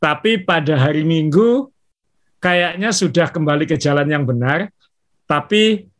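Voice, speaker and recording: Man, slightly high voice, measured racing analysis, casual, many fillers. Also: animated. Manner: measured, fills pauses with "eh". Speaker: podcast co-host, racing analyst.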